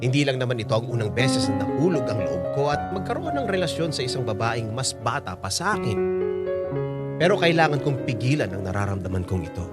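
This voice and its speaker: An actor playing a gentle, self-effacing middle-aged Filipino man in a radio drama, with a soft, earnest delivery and a Filipino accent. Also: medium pitch, very animated, brisk, natural voice. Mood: conflicted, confessional. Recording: music behind the voice.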